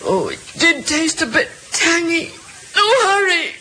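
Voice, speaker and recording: High, plaintive, suffering woman. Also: sing-song, measured pace, sharp tone, exaggerated voice. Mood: pained, urgent. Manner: moaning, pleading. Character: distressed, ailing, plaintive woman. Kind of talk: old-time radio drama acting. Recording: hissy vintage radio recording.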